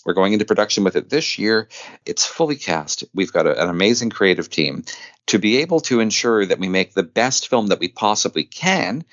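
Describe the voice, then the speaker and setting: Deep-voiced man, effusive interview guest. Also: lively intonation, brisk, fairly bright tone, dry recording, lo-fi sound. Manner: effusive, repeats phrases for emphasis. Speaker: podcast guest, filmmaker and actor. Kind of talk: enthusiastic interview talk.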